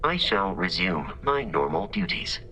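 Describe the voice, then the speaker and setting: A courteous robot companion from a video game, low and crisp-edged. It speaks formally and precisely, with literal-minded dry humor in a deadpan delivery, over faint music. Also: male voice, unhurried, heavily acted. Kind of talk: scripted video game character dialogue.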